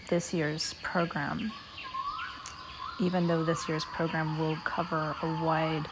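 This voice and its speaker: A woman leading a guided meditation talk in a measured, deliberate way, speaking in short phrases with pauses. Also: fairly low pitch, noisy background.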